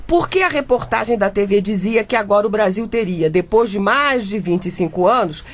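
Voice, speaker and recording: A woman's voice: medium pitch, expressive, moderate pace, natural voice. A woman playing an exasperated, defensive modern wife and mother in a comic radio drama, with theatrical exclamations.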